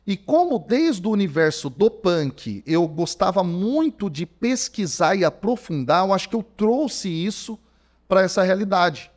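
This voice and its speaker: A Brazilian man around forty, a theology professor talking as a podcast guest, telling his story casually with lots of fillers. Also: high-pitched. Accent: Brazilian Portuguese. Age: 40s. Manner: animated storyteller, many fillers like "cara". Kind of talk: conversational podcast interview. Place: podcast studio. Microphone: studio microphone.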